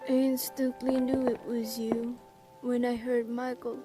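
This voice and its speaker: A woman's voice: fairly high pitch, level tone, close to an everyday voice, poor audio. A woman playing a frail, loving, dying old mother in an amateur audio drama, speaking tenderly and formally. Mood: tender, relieved. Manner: slow, tender, formal phrasing. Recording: music loud behind voice.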